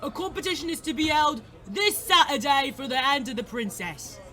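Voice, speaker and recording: A man playing a loud, officious medieval town crier, proclaiming in a declamatory way for a comedic audio drama. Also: very high voice, big pitch swings, moderate pace, full character voice.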